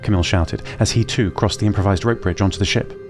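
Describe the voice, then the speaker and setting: A man narrating a scripted audio drama in a calm, measured way. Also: on the low side, over music.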